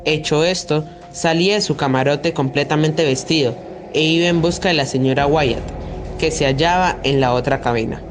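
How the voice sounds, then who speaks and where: A man's voice: fairly high pitch, normal speed. A young man reading a scripted literary story as narrator of an amateur radio drama, with music behind him. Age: young adult.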